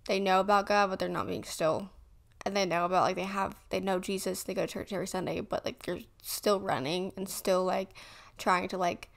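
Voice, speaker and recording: An eighteen-year-old woman hosting a faith podcast in casual chat, talking quickly with lots of "like" fillers. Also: mid-range voice, steady tone, vocal fry. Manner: quick, chatty, with many "like" fillers. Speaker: podcast host. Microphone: desk condenser mic.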